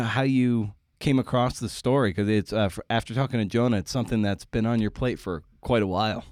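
A man's voice: lowish voice, darkish tone, no echo, clean studio sound. A man hosting a podcast in a casual, playful and informal way, rambling a little as he talks. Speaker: podcast host. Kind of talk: casual conversational interview with scripted introduction.

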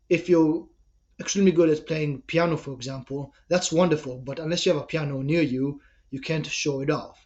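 A man's voice: fairly high pitch, a little mellow, lo-fi sound. A young man with an Israeli accent, a personal trainer answering podcast interview questions in an earnest, explanatory way.

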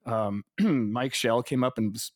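A man's voice: mid-range voice, slow pace, no room sound. Chatty middle-aged Canadian man, dry sound. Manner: chatty, laughs often, repeats words. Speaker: interview guest, keyboardist. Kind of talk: casual conversation.

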